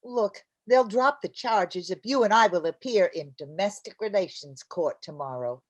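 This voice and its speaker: A woman's voice: medium pitch, normal speed, natural voice. A woman playing a scatterbrained, exasperated young housewife with a fretful, insistent manner in old-time radio comedy. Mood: flustered explaining.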